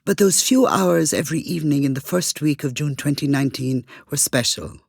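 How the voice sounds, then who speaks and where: A woman co-hosting a podcast, telling things in a conversational, expressive way. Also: sits low, a little fast, gravelly, slightly rough, dry recording, clean studio sound. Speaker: podcast co-host.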